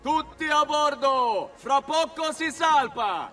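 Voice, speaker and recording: An actor playing a gruff, officious harbour gatekeeper with an Italian accent, barking sternly in a game cutscene. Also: male voice, very high-pitched, lively intonation, slow, sharp tone, exaggerated voice. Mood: calling out. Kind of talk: video game cutscene acting. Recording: distant voice over background noise.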